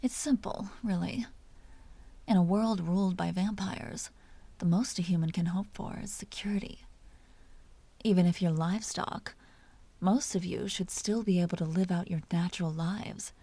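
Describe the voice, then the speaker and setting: A mellow, husky vampire duchess, voiced by a woman murmurs close and dry, often slipping into a breathy whisper. She is imperious and possessive, teasing with a tender streak, in the manner of an intimate audio drama. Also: on the low side, fairly even intonation, moderate pace, no room sound. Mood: serious, persuasive. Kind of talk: intimate scripted audio roleplay.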